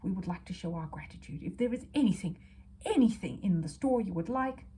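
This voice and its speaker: A woman voicing a pompous, booming, effusive male store manager in a storybook. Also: lively intonation, a little fast, warm tone, husky. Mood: grand gratitude.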